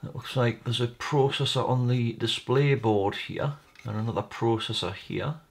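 A man's voice: medium pitch, moderate pace. A man hosting a repair video, casually narrating his hands-on work at a bench, thinking aloud in a tentative way. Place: indoor workbench.

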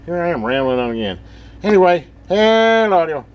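A man's voice: on the high side, sing-song, fairly quick, very noisy. A man giving a casual, rambling hands-on radio demonstration, thinking aloud in a folksy, informal way. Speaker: hobbyist presenter demonstrating a CB radio.

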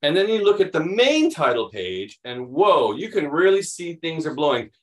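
A middle-aged American man reads a picture book aloud to young children, animated and expressive, adding chatty explanations. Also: fairly high pitch, normal speed. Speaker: children's read-aloud host.

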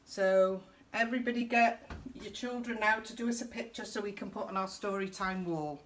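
A woman's voice: mid-range voice, normal speed. A middle-aged woman, reading a children's picture book aloud in a chatty, encouraging way. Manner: chatty, encouraging, breaks off to point things out. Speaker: children's story time presenter for a youth service. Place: small indoor youth room. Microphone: camera a few feet away. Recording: roomy, distant camera mic with faint noise.

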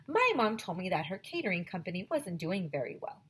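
A woman in her forties, a teacher, reading a chapter book aloud to her students in an animated storytelling way, on a headset mic at home. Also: lowish voice, a little fast, husky. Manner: animated storytelling, switching voices for characters. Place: small home room decorated as a classroom. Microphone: headset microphone, webcam video.

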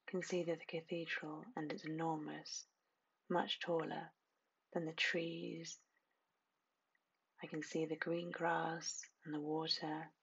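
A woman narrating a scripted guided meditation in a soothing, hushed, hypnotic manner, lingering on repeated phrases with long pauses. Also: low voice, creaky, slightly rough, lo-fi sound.

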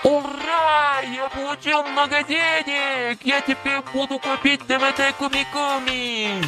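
A performer voicing an excitable, money-hungry young red dragon in an amateur cartoon, with simple declarative delivery. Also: high-pitched, unhurried. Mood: excited. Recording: music behind the voice.

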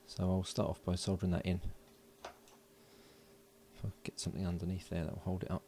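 A man casually talking through hands-on electronics work, unhurried and thinking aloud. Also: low voice, monotone, vocal fry, mellow, a little hiss or hum. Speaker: host of an electronics build video. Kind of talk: casual hands-on tutorial narration. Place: indoors at a workbench.

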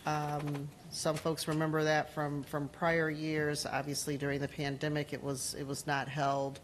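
A woman in her forties, a city council member, giving announcements at a meeting, halting with many ums and uhs. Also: sits low, monotone, a little hiss or hum. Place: council chamber. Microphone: desk gooseneck mic.

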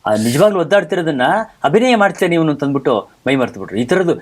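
Animated higher-pitched man hosting a talk. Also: quick pace. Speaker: talk show host. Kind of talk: animated conversational storytelling about theatre.

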